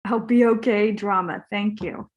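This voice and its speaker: A woman's voice: mid-range voice, steady tone, unhurried, lo-fi sound, close to an everyday voice. A woman reading the part of an easygoing, naive male actor with a fading career, earnest and casual, in a comedy table read.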